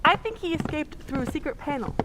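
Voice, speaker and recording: A woman's voice: high voice, big pitch swings, a little fast. An actress playing a poised, curious lady sleuth in old-time radio drama style, with polite, inquisitive delivery. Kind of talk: 1940s radio drama acting. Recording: echoey hall, faint background noise.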